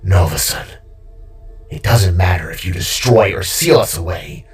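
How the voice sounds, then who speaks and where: Man acting a bitter, mocking villain king, drawn-out delivery. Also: deep voice, recorded from a distance, voice pushed down. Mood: bitter, taunting. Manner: groggy, drawn-out, rambling, hissing monologue. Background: music.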